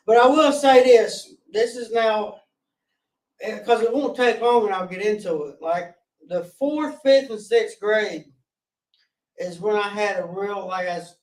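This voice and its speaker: A forty-year-old man from North Carolina with a loud voice, telling his life story as a podcast guest in an earnest, rambling way with restarts. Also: high-pitched, unhurried, distant mic. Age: 40s. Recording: roomy, off-mic sound.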